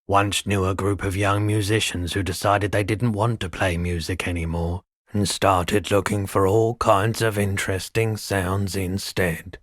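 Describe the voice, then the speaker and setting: A man voicing a mild, wry, world-weary pawn shop keeper in a video game, soft-spoken, polite and reflective. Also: deep voice, level tone, measured pace, few pauses, dry recording, clean studio sound. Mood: wistful, musing. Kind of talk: game character dialogue.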